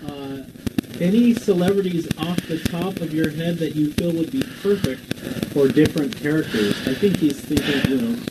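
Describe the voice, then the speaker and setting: A man casually relaying questions and comments in a hesitant, halting way, off-mic and quiet. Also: on the high side. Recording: off-mic, quiet, crackly background noise.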